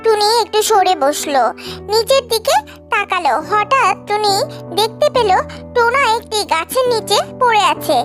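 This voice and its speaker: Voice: very high voice, animated, moderate pace. A narrator reading a scripted cartoon story for children. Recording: music behind the voice.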